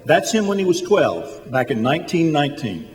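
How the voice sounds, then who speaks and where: Man acting a calm chief angel, reverberant hall. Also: fairly high pitch, normal speed. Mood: calm, instructive. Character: calm, authoritative male chief angel, instructing apprentice. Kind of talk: radio drama acting. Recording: hall reverb, music underneath.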